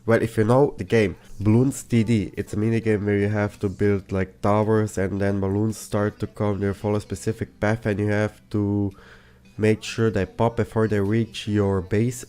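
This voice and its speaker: A man's voice: lowish voice, a little mellow, smooth. A young man who is a bit sick, walking through a game plugin in a rambling tutorial style with many restarts. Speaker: plugin reviewer. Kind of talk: casual tutorial walkthrough.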